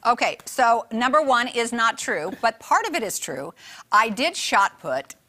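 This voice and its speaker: A woman's voice: fairly high pitch, expressive, unhurried, bright, forceful tone. A woman co-hosting a morning TV show, bubbly and teasing in casual banter, on a lapel mic in a studio. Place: TV studio set.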